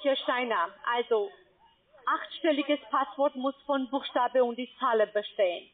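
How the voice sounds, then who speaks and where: Lady phone agent, broken grammar, high voice, phone-line sound. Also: a little slow. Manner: instructing step by step, broken grammar. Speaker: scam call center agent. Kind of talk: phone call.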